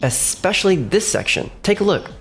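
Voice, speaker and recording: A man's voice: sits high, big pitch swings, gravelly, a bit bright, full character voice. A man voicing a level-headed, cautious young company boss who also narrates a manga dub. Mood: focused. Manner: measured, composed. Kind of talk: manga dub dialogue and first-person narration. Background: faint background music.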